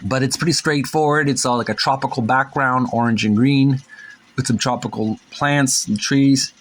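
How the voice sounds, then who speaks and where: A man's voice: medium pitch, fairly even intonation, moderate pace. A man hosting a casual show-and-tell in a folksy, rambling, hesitant way, sometimes reading captions aloud. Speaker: host of a retro gaming channel.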